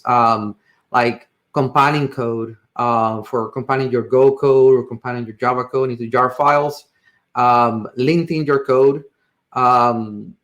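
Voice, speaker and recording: Puerto Rican man, halting tech presenter. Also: medium pitch, a bit bright. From Puerto Rico. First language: Spanish. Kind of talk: informal technical presentation.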